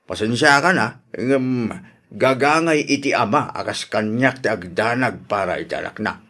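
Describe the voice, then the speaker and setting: A man with a Filipino accent playing an earnest suitor in a radio drama, speaking gently and pleadingly. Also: medium pitch, moderate pace, close to an everyday voice. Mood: respectful, earnest. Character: earnest, soft-spoken male suitor courting an old love. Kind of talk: Ilocano radio drama acting.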